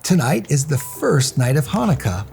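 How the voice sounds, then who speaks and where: A man's voice: on the high side, very animated, normal speed, gravelly, darkish tone, faint music behind, voice pushed up. A man voicing a clever, confident, teasing storybook hero with an unruffled, mocking manner. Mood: bold, taunting.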